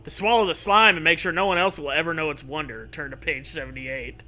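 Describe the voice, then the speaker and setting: Bright, forceful man reading comedy aloud. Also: fairly high pitch, a little fast. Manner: theatrical, amused, breaking into riffs and swearing. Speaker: host reading aloud a choose-your-own-adventure parody. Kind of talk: comic read-aloud with casual joking chat.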